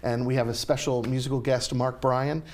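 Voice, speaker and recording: A man's voice: mid-range voice, little pitch movement, normal speed. A man answering as an interview guest, explaining things in an informal, conversational way. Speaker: interview guest, radio drama scriptwriter and lyricist. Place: TV news studio.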